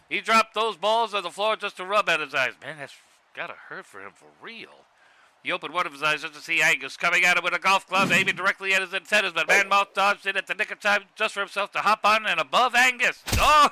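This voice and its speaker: A man giving excitable, scripted play-by-play commentary on a fight. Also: high-pitched, slight background noise, low-quality recording.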